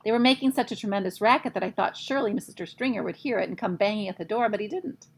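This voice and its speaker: A woman reading a children's novel aloud in expressive storytelling narration. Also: medium pitch, fast, gravelly, slight background noise. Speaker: storybook reader.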